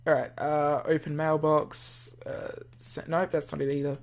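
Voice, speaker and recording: A gamer reading a visual novel aloud with casual, easily amused commentary, thinking out loud. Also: mid-range voice, level tone, moderate pace. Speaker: gamer, channel host. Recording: music under the voice.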